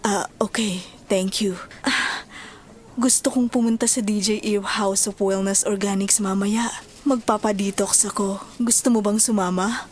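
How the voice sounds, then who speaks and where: A woman's voice: mid-range voice, steady tone, measured pace, a little hiss or hum, lightly acted. A woman playing a caring, forgiving middle-aged stepmother with a Filipino accent in an audio drama. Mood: mild concern. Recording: music bed behind the voice.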